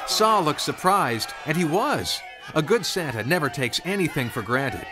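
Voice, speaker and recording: A man narrating a scripted children's story in an expressive, conversational way. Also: a little high, normal speed, over music, crowd noise. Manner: conversational, expressive, rhetorical questions.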